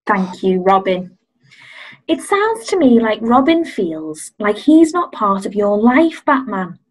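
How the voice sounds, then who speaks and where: A woman playing a patient, polite couples counsellor in a comic radio play, measured and diplomatic. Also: medium pitch, big pitch swings, poor audio. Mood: soothing, diplomatic.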